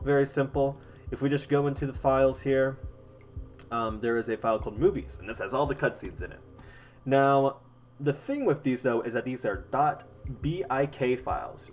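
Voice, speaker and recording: A man hosting a casual software tutorial, explaining step by step in an informal, halting way. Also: mid-range voice, a little hiss or hum. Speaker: tutorial host.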